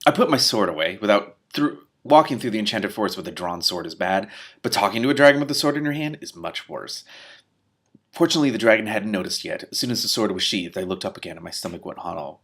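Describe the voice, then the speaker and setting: Guy reading a book out loud w commentary, super animated, lowish husky voice. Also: fast talker. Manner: expressive, reacts excitedly to the story. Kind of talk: animated read-aloud with commentary.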